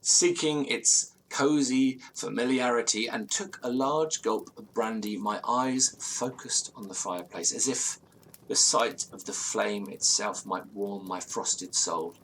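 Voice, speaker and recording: An actor playing an anxious, genteel British gentleman who recounts a ghost story in formal, ornate, theatrical phrases. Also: on the low side, a little slow, slight background noise, rough recording. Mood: fearful, suspenseful. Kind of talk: audio drama storytelling, period ghost tale.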